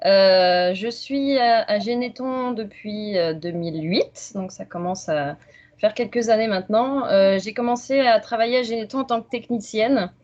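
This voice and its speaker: A woman in her thirties from Franche-Comté in France, presenting science informally and haltingly on a video call. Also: mid-range voice, a little mellow. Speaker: researcher, doctoral student presenting. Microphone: video call microphone. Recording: muffled video-call audio.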